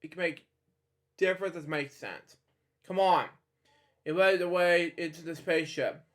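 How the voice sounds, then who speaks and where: A man's voice: a little high, steady tone. A man reading a children's storybook aloud, stumbling, restarting and adding rambling side comments. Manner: halting, with frequent restarts and filler comments.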